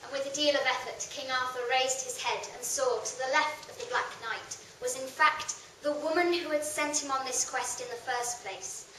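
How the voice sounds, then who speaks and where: A woman's voice: sits high, steady tone, moderate pace, few pauses, bright, forceful tone. A woman telling a tale in theatrical scripted narration. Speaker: storyteller. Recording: very echoey room, distant mic, clear noise.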